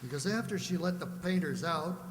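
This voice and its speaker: An actor playing a brisk, plain-spoken police lieutenant in a detective radio play. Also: male voice, on the high side, animated, roomy sound, long reverb tail, a little hiss or hum, natural voice. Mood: businesslike.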